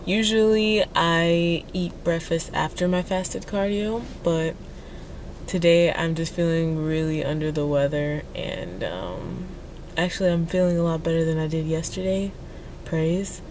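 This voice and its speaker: A young woman talking through a stuffy, congested nose, her voice pitched a little low. She rambles casually with plenty of "um"s, vlogging about her day at the gym. A faint hiss of noise sits underneath. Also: a little slow, a little mellow. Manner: chatty, rambling with many fillers. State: congested, sore throat. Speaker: fitness vlogger. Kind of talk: casual vlog chat.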